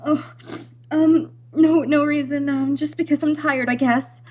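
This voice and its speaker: A woman's voice: high voice, fairly even intonation, unhurried, a little mellow. A woman playing an anguished young island daughter torn by love, pleading breathlessly in an old-time radio melodrama. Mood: evasive, nervous.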